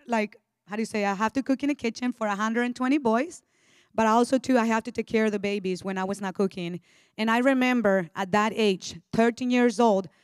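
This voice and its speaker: A woman's voice: mid-range voice, smooth, no echo, clean studio sound. A young woman from Honduras with a Spanish accent, giving an earnest personal testimony on a church stage into a handheld mic. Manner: earnest, eager storytelling with casual fillers. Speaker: guest speaker.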